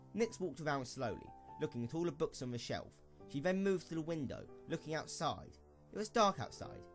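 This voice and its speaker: A man narrating a scripted story as an expressive storyteller for an audio drama. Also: mid-range voice.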